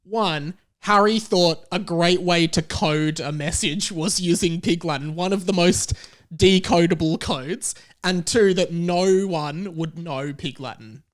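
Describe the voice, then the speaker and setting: A man's voice: high-pitched, unhurried. A man chatting casually as a comedy podcast guest, riffing on a story in a wry, mock-serious way.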